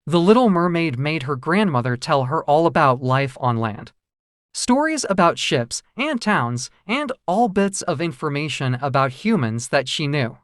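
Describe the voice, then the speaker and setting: Animated fairy-tale storyteller, dry close sound. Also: mid-range voice, no room sound. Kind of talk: scripted narration of a children's fairy tale.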